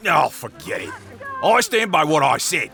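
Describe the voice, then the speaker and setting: An actor playing a loud, superstitious, leering pirate crewman with a gravelly voice in an audio drama. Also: on the high side, very animated, normal speed, soft background music, heavily acted. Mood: grumbling, indignant.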